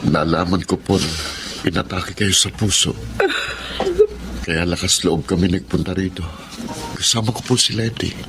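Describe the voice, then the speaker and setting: An actor playing a caring, respectful son and husband in a Filipino radio drama, earnest and gentle. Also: male voice, sits low, fairly even intonation, moderate pace, noisy background, natural voice. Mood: earnest, hesitant. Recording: music under the voice.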